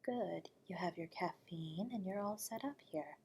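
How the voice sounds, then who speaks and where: A woman's voice: lowish voice, measured pace, slight background noise. A woman playing a whispery undead horror host. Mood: silky, teasing. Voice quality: soft, whispery and breathy. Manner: courteous and teasing, chuckling often. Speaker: late-night horror radio host. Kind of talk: audio roleplay addressing the listener.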